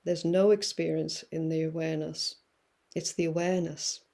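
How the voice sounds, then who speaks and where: A woman guest musing through spiritual ideas, with many fillers and pauses. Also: sits low, level tone, creaky, warm tone. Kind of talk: reflective spiritual talk.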